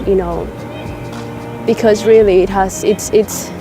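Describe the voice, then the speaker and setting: A young woman speaks in a measured, thoughtful way. She sits outdoors in a park for a documentary interview, with music playing clearly behind her. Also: medium pitch, fairly even intonation. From South Sudan. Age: young adult.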